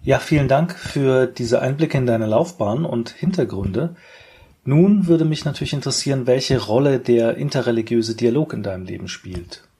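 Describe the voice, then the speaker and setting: A man's voice: medium pitch, expressive, normal speed, mellow, slightly rough. A male podcast host reading a formal introduction and asking polite interview questions.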